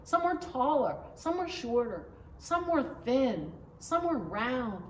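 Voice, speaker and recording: A woman's voice: high voice, big pitch swings, slow, a little mellow, exaggerated voice. A woman voicing a curious, affectionate young daughter in a children's picture book read-aloud. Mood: curious, eager. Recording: roomy echo, distant mic, faint noise.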